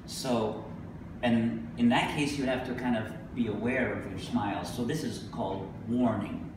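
Middle-aged man, live poetry reading, slow emphatic delivery, medium pitch, noisy far-off recording. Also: steady tone. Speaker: poet. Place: indoor venue with a staircase, live reading. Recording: echoey room from a distance, noisy.

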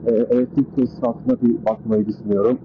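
A man's voice: mid-range voice, level tone, fast talker. A man vlogging casually from his motorcycle, chatting and muttering to himself as he rides through town. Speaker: motovlogger. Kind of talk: casual riding commentary. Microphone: AirPods earbuds under helmet. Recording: muffled earbud mic with loud road noise. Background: engine and traffic noise.

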